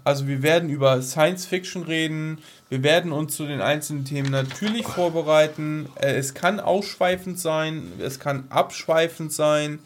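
Rambling man, slightly high voice. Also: fairly even intonation, moderate pace, few pauses, slight background noise. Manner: colloquial, rambling. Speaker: podcast co-host. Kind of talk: casual chat about science fiction.

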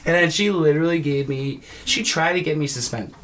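A man's voice: fairly high pitch, fairly quick, a bit bright. Foul-mouthed ranting man, faintly noisy recording. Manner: crude, profane, ranting. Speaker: podcast co-host. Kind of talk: casual movie review banter.

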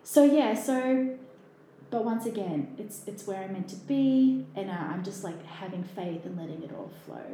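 A woman from New Zealand chats as a podcast guest, restarting her sentences and filling gaps as she goes. Her voice sits at a middling pitch and rings in a very echoey room, over a faint hiss. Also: lively intonation, moderate pace, mellow. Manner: chatty, lots of fillers and restarts. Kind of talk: casual conversation.

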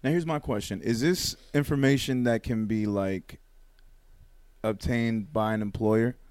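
A young man speaking in a low voice, close on the mic with a dry sound. His storytelling is loose, casual and full of profanity. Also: fairly even intonation, unhurried, darkish tone, clean tone, dry recording. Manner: laid-back, profane storytelling with laughs. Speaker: podcast co-host. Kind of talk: casual chat. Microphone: close studio mic.

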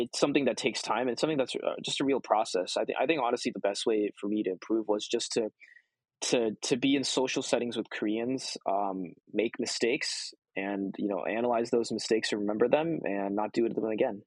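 A man's voice: lowish voice, a little fast, slightly rough, dry recording, low-quality recording. A young man answering interview questions casually, with many ums and restarts. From United States. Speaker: guest.

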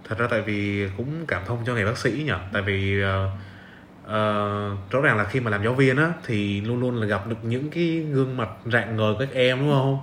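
A man's voice: on the low side, moderate pace, a bit bright. Young guy w southern viet accent hosting a chatty podcast, roomy echo and some noise. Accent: southern Vietnamese. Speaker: podcast host. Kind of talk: casual interview chat. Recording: roomy echo, noticeable background hiss.

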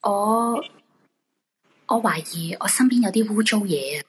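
A woman's voice: medium pitch, lively intonation, measured pace, husky. A woman playing an anxious, polite, haunted wife in a radio drama, earnest and pleading, with a Hong Kong Cantonese accent.

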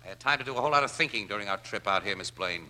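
Sharp, brash radio sleuth. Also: male voice, on the low side, lively intonation, racing. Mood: confident, accusing. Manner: brash, colloquial. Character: wisecracking, shrewd crime photographer turned amateur sleuth. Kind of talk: 1940s radio drama acting.

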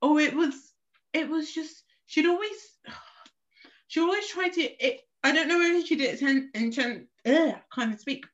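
A woman's voice: high-pitched, lively intonation, normal speed, a bit bright. Chatty young woman, muffled. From England. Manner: chatty storyteller, restarts a lot. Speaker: podcast co-host. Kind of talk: casual chat. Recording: slightly muffled lo-fi recording.

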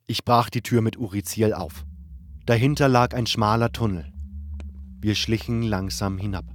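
A man with a low voice narrates a fantasy tale as a scripted audiobook. His delivery is measured and dramatic, with music clearly playing behind him. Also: slight background noise. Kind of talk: scripted fantasy story narration in first person.

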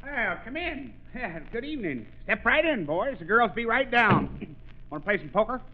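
A man's voice: high voice, very animated, moderate pace, narrow, tinny audio. A man playing a jovial, money-grubbing, slangy father in a radio comedy of the 1930s. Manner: hucksterish, colloquial, slangy. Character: money-grubbing, jovial, folksy father hustling his daughter's dates. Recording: noisy old radio recording, loud studio audience.

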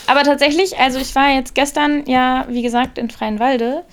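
A woman co-hosting a casual podcast chat about a gym, quick-witted and teasing. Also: high voice, steady tone, clean tone. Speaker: podcast co-host, gym trainer.

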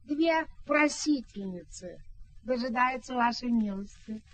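A duke's crafty steward in a radio drama. The voice is very high and unhurried, with formal, deferential phrasing. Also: female voice, lively intonation, over loud music, rough recording. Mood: ceremonious. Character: sly, ceremonious male steward of a duke. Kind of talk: radio play acting.

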